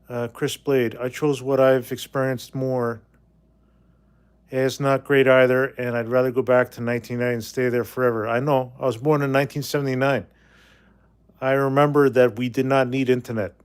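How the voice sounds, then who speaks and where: A man's voice: medium pitch, level tone, normal speed, clean tone. Forties male streamer from Canada; loose, rambling, blunt comment-reader. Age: 40s. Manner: rambling, reads viewer comments aloud, blunt. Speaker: livestream host. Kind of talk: casual livestream chat reading comments.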